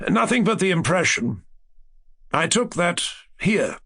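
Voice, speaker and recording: A man voicing a grave, haunted gentleman who tells his ghost story with hesitant, solemn deliberation, in an audiobook. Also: high voice, big pitch swings, unhurried, slightly rough, voice pushed up, full character voice. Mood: quietly solemn. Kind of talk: acted dialogue in classic ghost story audiobook.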